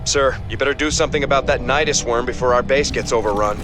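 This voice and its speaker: A male actor plays an alert crewman in a sci-fi game. He reports quickly and deferentially to his superior, sounding anxious and urgent. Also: mid-range voice, over music, close to an everyday voice. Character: anxious male military subordinate reporting threats. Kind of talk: video game briefing dialogue.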